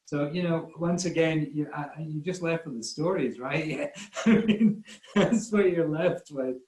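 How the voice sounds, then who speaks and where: Scottish man, slightly high voice, rambling self-deprecating anecdotes, echoey room. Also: unhurried, a little mellow. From Scotland. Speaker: guest photographer. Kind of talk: casual storytelling in an online talk. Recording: roomy echo.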